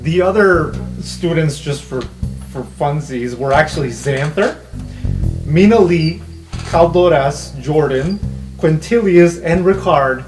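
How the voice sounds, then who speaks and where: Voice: medium pitch, takes it slowly, over music, low-quality recording. A game master improvising casual, informal narration for a tabletop roleplay podcast.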